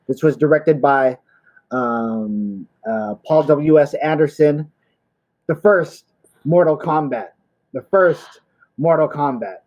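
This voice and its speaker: Slightly dull, lo-fi audio carries a man with a fairly high voice. He co-hosts in a loose, slangy style and jokes as he chats. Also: slow pace, darkish tone. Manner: slangy, jokey. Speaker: co-host of a retro pop culture show. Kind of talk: casual chat.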